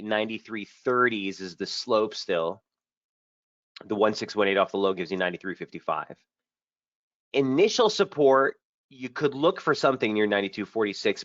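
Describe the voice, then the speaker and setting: A man hosting a live trading webinar, talking through charts in a brisk, casual way with many fillers, a bit hoarse from feeling under the weather. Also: lowish voice, no echo. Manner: brisk, casual trader talk with frequent fillers. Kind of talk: live market analysis webinar. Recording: dull, dry lo-fi stream audio.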